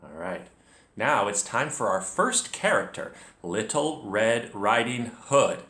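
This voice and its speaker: A man leading a children's read-along lesson, speaking directly to the learner and narrating clearly as a storyteller. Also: fairly low pitch, slow. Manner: expressive, addresses the learner directly. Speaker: children's reading teacher, storyteller. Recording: echoey room.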